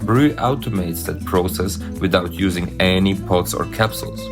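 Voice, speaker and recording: A man who invented a tea machine, reading a scripted sponsor ad in a smooth promotional manner. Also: low voice, normal speed. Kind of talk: scripted ad read. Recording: ad with guitar music behind.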